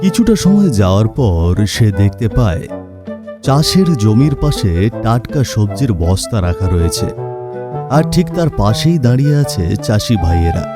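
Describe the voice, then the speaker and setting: A man narrates an animated storybook with a lively, expressive delivery. His voice sits in the middle of the range, and loud music plays beneath it. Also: medium pitch, moderate pace. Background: background music.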